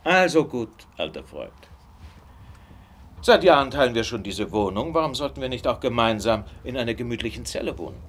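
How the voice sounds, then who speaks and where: Man acting as a confident detective, very lively intonation, suave and teasing, theatrical radio drama, faint background noise. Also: medium pitch, moderate pace, close to an everyday voice. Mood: amused, confident.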